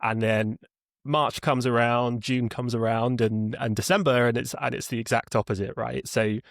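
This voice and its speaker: A man's voice: medium pitch, fairly even intonation, moderate pace, gravelly, dry recording, clean studio sound. A man being interviewed as a podcast guest, explaining conversationally, rambling and repeating words as he thinks. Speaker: podcast guest, head of customer experience.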